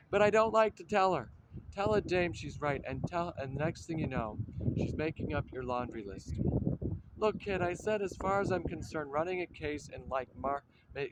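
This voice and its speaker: A man's voice: on the high side, noisy background, lightly acted. An actor playing a wry, pedantic man, delivering dry, clipped lines and hardboiled pastiche narration. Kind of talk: radio-drama acting with hardboiled pastiche narration.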